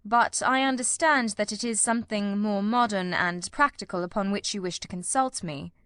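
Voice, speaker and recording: A woman's voice: mid-range voice, moderate pace, a bit bright, clear, resonant tone, no echo, clean studio sound, lightly acted. A woman playing a composed, inquisitive male detective in audiobook dialogue, with formal, precise phrasing. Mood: cool, inquiring.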